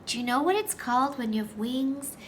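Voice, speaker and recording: A woman teacher reading a story aloud to young children, with simple, deliberate phrasing and questions for her listeners. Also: high voice, animated, a little hiss or hum.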